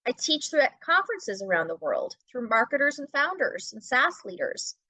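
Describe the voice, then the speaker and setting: A woman's voice: high voice, big pitch swings, moderate pace, pushed and bright, dry recording, low-quality recording. A middle-aged woman talking as a podcast guest, making her points emphatically and often asking and answering her own questions. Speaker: podcast guest, brand-building business owner and teacher. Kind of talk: conversational podcast interview.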